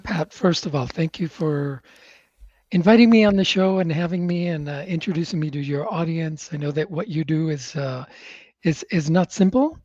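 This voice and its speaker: A man from Chile who grew up in the United States, a native Spanish speaker, talking reflectively as a podcast guest with frequent pauses. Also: on the high side, darkish tone, dry recording. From born in Chile, grew up in the United States. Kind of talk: conversational personal storytelling. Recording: dull, slightly lo-fi dry microphone.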